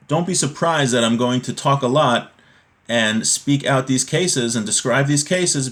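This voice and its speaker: A man giving an informal religious lesson, reading a text aloud and explaining it step by step, often checking that listeners follow. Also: mid-range voice. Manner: explanatory, checks understanding with rhetorical tags like "right?".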